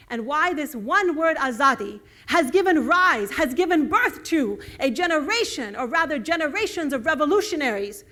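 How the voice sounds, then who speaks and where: A woman's voice: high-pitched, fairly bright tone. A woman whose first language is Urdu, giving an earnest lecture with slides and building emphasis on key words. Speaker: guest lecturer.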